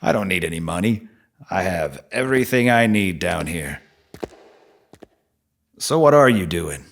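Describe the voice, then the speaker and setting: Audio drama role, male actor: streetwise male stranger from the underground, lowish voice, highly animated, profane and probing. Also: a little slow, vocal fry, exaggerated voice. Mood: taunting, darkly frank. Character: streetwise, needling male stranger living down in subway.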